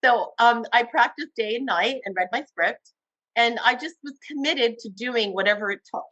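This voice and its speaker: A woman from Cuba, a television host, telling her story in an interview with an enthusiastic, animated manner. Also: on the high side, normal speed, no echo, highs cut off. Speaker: TV host guest. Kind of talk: interview conversation.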